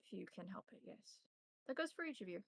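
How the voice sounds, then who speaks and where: A woman playing a measured, cautious elder vampire mentor who instructs in formal, deliberate phrasing. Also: medium pitch, animated, gravelly, no room sound. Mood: grave.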